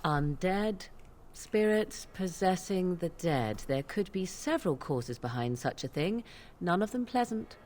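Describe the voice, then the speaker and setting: A woman's voice: lowish voice, lively intonation, unhurried, darkish tone. An actress playing a scornful, cynical witch companion in a fantasy video game, with a haughty, theatrical manner. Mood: knowing, cool.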